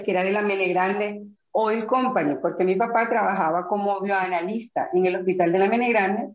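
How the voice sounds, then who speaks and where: A middle-aged woman from Venezuela with a Zulia accent, thoughtfully answering interview questions with frequent fillers and restarts. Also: mid-range voice. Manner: reflective, many fillers and restarts. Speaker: interview guest. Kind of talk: conversational interview. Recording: echoey, dull lo-fi audio.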